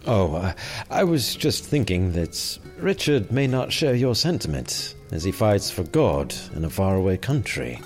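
A sardonic medieval sheriff with a low voice that moves widely in pitch. He is hesitant and understated in a dry way, as in a radio play, over faint music. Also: very animated, a little slow, hardly any pauses. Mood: guarded. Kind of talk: medieval audio drama acting.

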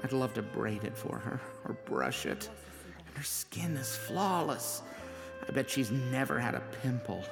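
Hapless ad man, flustered rambling, very animated pitch, audio drama, music behind. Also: unhurried, gravelly. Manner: flustered, rambling, self-scolding. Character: nervous, smitten, hapless low-level ad man. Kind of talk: audio drama acting with confiding inner monologue.

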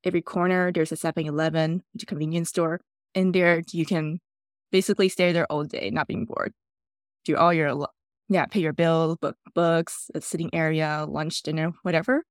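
A woman from Taiwan, a podcast guest telling relaxed stories in loosely phrased English. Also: low-pitched, normal speed, no echo, clean studio sound. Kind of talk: casual interview storytelling.

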